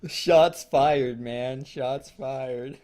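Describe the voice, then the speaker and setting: A man talks in a mid-range voice as co-host of a fan fiction reading show. His delivery is loose and joking, and he rambles, halting and stammering as he works through a thought. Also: mid-range voice, darkish tone. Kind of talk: casual joking chat.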